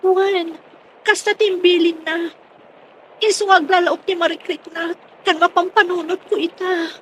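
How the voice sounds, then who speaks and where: Shrill, anxious daughter. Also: female voice, steady tone, measured pace, fairly bright tone, a little hiss or hum, rough recording. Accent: Ilocano. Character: anxious daughter fretting over her missing father. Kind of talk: radio drama acting.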